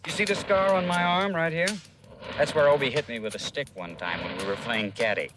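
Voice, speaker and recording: Actor as eager young American drifter, noisy recording. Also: male voice, medium pitch, a little fast, close to an everyday voice. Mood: friendly, open. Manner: eager, plain-spoken, deferential. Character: earnest, folksy young male drifter pleading his identity. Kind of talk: 1950s American radio drama acting. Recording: noisy old radio recording, clicks.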